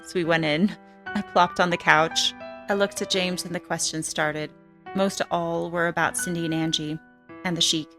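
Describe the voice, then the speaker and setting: A woman's voice: fairly low pitch, steady tone, moderate pace, a bit bright. An actress playing a hard-boiled female ex-trafficker, narrating her own story in a frank, crude, wry way for a film. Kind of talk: first-person film narration. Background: music.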